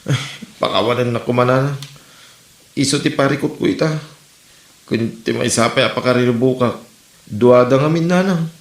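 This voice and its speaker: In a roomy, echoing recording, a mid-pitched young Ilocano son played by a man talks in a comedy drama. He is sheepish and evasive, hesitating and stammering apologetically to his mother. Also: normal speed, long pauses, lightly acted.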